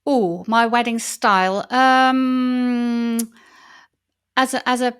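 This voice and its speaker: Woman, Dutch accent, fairly high voice, open and lively, middle-aged, video call interview. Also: slow pace, clear, resonant tone. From Netherlands. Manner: open and enthusiastic, talks with her hands. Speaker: wedding celebrant. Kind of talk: interview guest.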